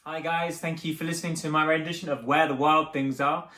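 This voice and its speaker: A man hosting a picture book reading, narrating theatrically for children with dramatic pauses. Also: a little high, steady tone, pushed and bright. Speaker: host reading picture books aloud. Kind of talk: animated children's story narration. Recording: roomy, echoing sound.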